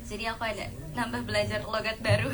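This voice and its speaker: A young actress chatting casually in a press Q&A at a film premiere and slipping in English phrases. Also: female voice, a little high, level tone, fast, fairly bright tone. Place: premiere event hall with audience.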